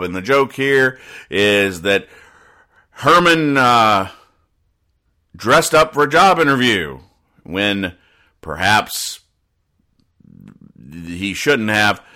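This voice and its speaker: A man hosting a casual solo podcast, explaining things in a rambling, halting, deadpan way. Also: on the low side. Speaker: podcast host. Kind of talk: casual solo commentary, explaining comic strips.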